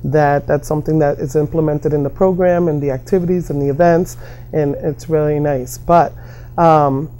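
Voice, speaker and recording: A middle-aged American woman answering informally in an interview, halting and often repeating her phrases. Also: low-pitched, fairly even intonation, dark tone, low-quality recording. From New York and Massachusetts, United States. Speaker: interviewee, community worker.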